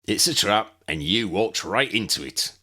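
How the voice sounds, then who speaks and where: A harsh, bullying villain from a storybook, acted out by a male narrator. The voice is low and husky, and he gives orders with menace. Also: animated, a little slow, pushed and bright, exaggerated voice. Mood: menacing.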